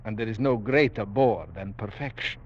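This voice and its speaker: An actor playing a suave, menacing aristocratic general who hunts men for sport, speaking with courtly, formal boastfulness in 1940s radio style. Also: male voice, lowish voice, normal speed, natural voice. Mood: smugly confiding. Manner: courtly, formal, coolly boastful, savoring his words. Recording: dull vintage 1940s radio broadcast.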